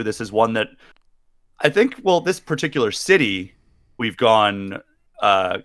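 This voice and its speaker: A man's voice: lowish voice, a bit bright, dry recording, studio quality. A man from Maryland co-hosting a casual livestream, chatty and rambling, laughing easily. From Maryland, USA. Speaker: magazine livestream co-host. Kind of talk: casual chat.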